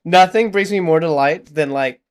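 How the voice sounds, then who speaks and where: A man co-hosting a podcast, telling animated anecdotes in casual chat. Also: a little high, clean tone. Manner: quick, animated anecdotes with acted quotes. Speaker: podcast co-host.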